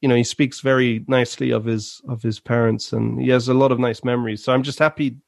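A man's voice: medium pitch, little pitch movement, fast talker, vocal fry, darkish tone, no echo, clean studio sound. A man from French-speaking Switzerland talking as a podcast guest in a casual, hesitant, easygoing way. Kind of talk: casual conversational interview.

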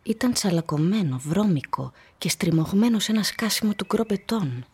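A woman narrating a literary radio play with measured, dramatic storytelling. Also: medium pitch, animated, darkish tone, husky.

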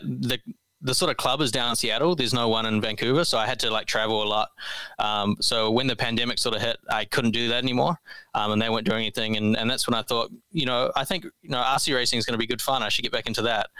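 A man's voice: mid-range voice, sharp tone, clear, resonant tone, no room sound, poor audio. A young man, answering interview questions in an easygoing, modest way with many ums. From New Zealand. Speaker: podcast guest.

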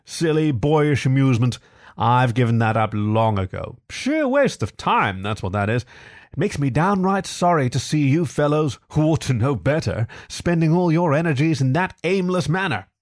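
A man voicing a boastful, excitable male toad from a storybook, with a pompous, gushing, theatrical manner. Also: fairly high pitch, normal speed, no echo, studio quality, voice pushed up, close to an everyday voice. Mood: pompous, disdainful. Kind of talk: storybook character acting.

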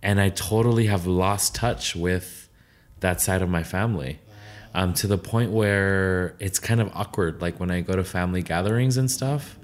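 A man's voice: sits low, normal speed. A man in his thirties, an artist, chatting casually in a rambling, self-interrupting, jokey way. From United States. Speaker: artist guest. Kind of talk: casual conversation.

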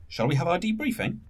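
A stressed, pragmatic leader played by an actor who insists on control in an audio drama. The voice is husky and the intonation swings very widely. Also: medium pitch. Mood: stressed, weary.

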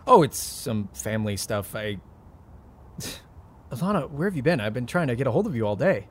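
A man's voice: mid-range voice, big pitch swings, normal speed, close to an everyday voice. An actor in a naturalistic audio drama playing an agitated, wounded heir in his thirties who stammers with indignation. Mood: confused, defensive. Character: agitated adult heir of a rich family, volatile and wounded.

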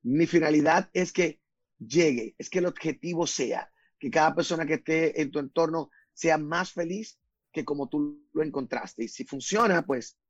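Husky man, slow emphatic talk. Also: on the high side, no room sound, lo-fi sound. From Dominican Republic. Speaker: guest speaker. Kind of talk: radio talk interview.

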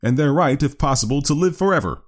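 Man acting out old male doctor, self-assured, emphatic lecturer, big lively pitch swings, storybook reading. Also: fast. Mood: lecturing, severe. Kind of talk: dramatised short story reading.

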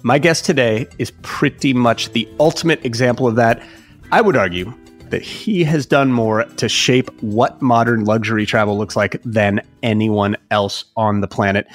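A man's voice: medium pitch, normal speed, soft background music. A man hosting a travel podcast, introducing his guest with enthusiasm and asking questions. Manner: enthusiastic, admiring, some restarts. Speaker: podcast host.

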